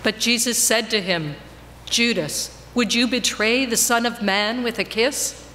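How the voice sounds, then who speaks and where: A woman reads scripture solemnly and deliberately in a bright, forceful voice of middling pitch. Her words ring through a long church reverb, with faint noise behind. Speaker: reader in a church Passion reading. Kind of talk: solemn dramatic scripture reading. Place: church, before a congregation.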